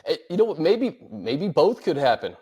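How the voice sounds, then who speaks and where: A man's voice: fairly high pitch, sing-song, moderate pace, mellow, husky. A man hosting a sports show, discussing teams and fan questions in a conversational, unscripted way.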